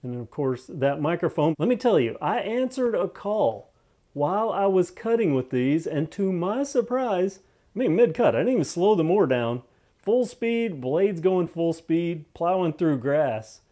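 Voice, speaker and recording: Casual male reviewer, slightly high mellow voice. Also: very animated, a little slow. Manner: conversational, thinking aloud, repeats phrases for emphasis. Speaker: product reviewer. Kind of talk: casual unscripted product review.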